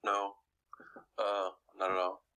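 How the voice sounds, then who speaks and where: A man teaching math, asking questions with many pauses and fillers during a staff video call. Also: on the low side, monotone, bright, forceful tone, clear, resonant tone. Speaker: math instructor. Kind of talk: casual staff meeting over video call.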